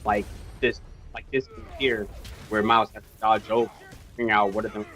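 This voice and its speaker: A man's voice: lowish voice, flat delivery, fairly quick, sharp tone. A man speaking as a game developer guest, giving upbeat, conversational commentary on gameplay. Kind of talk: live commentary on gameplay footage.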